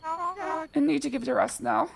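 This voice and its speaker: A woman's voice: sits high, animated, normal speed, vocal fry, heavy background noise, full character voice. A woman voicing a boastful village gossip who has talked all day. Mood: chatty. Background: game music.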